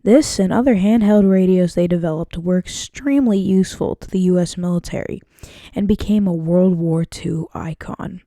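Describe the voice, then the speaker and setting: Mellow-voiced woman reading documentary narration. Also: fairly low pitch, no room sound, clean studio sound. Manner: reading from a script, with occasional stumbles. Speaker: student presenter.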